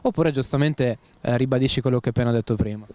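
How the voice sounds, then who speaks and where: A man's voice: medium pitch, quick pace, dark tone, no echo. A young man interviewing passers-by on a city street with a handheld mic, formally reading a news summary and asking follow-up questions. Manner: formal, reads news summary then asks follow-ups. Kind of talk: scripted intro then street questions.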